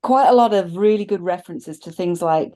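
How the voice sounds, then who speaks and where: A middle-aged woman with a soft, mellow tone speaks as a reviewer in a relaxed panel chat. She sounds enthusiastic and keeps it conversational, recorded indoors over a video call. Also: fairly low pitch, fairly quick.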